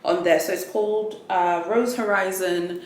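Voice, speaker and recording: A woman's voice: on the low side, steady tone, measured pace, roomy sound, low-quality recording. A woman whose first language is Swahili, chatting casually and rambling through a luxury unboxing vlog, with frequent restarts and fillers. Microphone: ring light setup, camera mic.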